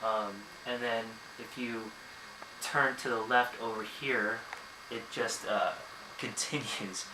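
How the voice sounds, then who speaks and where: A man's voice: mid-range voice, fairly even intonation, slow pace. A young man from America talking casually to camera in his bedroom, hesitant and full of ums and uhs. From United States. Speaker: vlogger. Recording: echoey room, noisy background.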